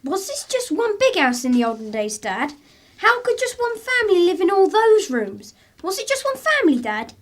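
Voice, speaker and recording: Performer as young boy, very high pitch, lively swings, eager, inquisitive, radio drama. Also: normal speed, exaggerated voice. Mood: curious. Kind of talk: radio play acting.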